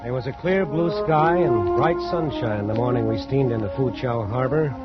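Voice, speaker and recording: A man's voice: medium pitch, normal speed, close to an everyday voice. A man acting as a hot-headed, blunt ship's first mate with salty sailor talk in an old-time radio play. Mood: wry storytelling. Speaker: actor and narrator in a radio play. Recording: muffled vintage radio recording with music.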